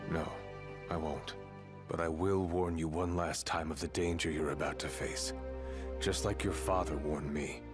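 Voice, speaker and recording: A stern low-voiced veteran mentor. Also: male voice, steady tone. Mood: warning. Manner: measured, stern, warning. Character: seasoned middle-aged male soldier mentor, stern. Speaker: video game character. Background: game score music.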